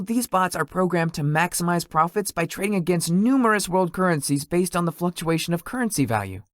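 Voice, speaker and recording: A man voices a laid-back young tech boss for a visual novel, hesitant and socially awkward, fond of comfort and sweets. The pitch moves around a lot, and the recording is close and dry. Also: no echo. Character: Sloth, lazy, sweet-toothed young male tech company founder. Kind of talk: visual novel character dialogue.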